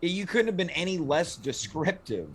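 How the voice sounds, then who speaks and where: A man with a hoarse, worn voice hosting a comedic home show, talking in a theatrical, playfully boastful way. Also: a little high, big pitch swings, normal speed, a bit bright. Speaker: host. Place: home studio.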